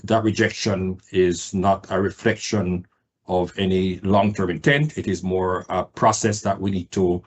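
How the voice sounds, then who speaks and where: A man with a low voice answers questions as a company executive on an earnings call. His phrasing is formal and corporate. He proceeds in a measured, hesitant way, picking his words. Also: fairly even intonation.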